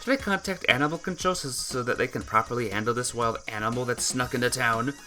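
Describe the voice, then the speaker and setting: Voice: mid-range voice, fast, pushed and bright, faint music behind. A gamer reading a visual novel aloud as narration, now and then tripping over words and correcting the slip. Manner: stumbles over words and corrects himself or herself. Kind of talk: game playthrough narration, reading aloud with character voices.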